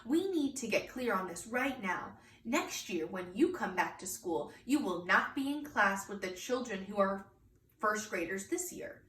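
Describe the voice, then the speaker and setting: A woman voicing a patient, polite kindergarten teacher from a storybook, explaining things gently. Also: mid-range voice, lively intonation, unhurried. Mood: patient insistence. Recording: echoey room, distant mic, faint noise.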